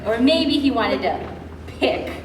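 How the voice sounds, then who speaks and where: A high, lilting female voice, unhurried and projected, heard from far off on an echoey, noisy stage. A young woman plays a patient wife in a comedy, matter-of-fact and gently teasing. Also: animated. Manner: deliberate, projected stage delivery. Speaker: actor. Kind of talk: amateur one-act stage comedy acting. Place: indoor stage, recorded from far off. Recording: distant, echoey, noisy low-quality stage recording.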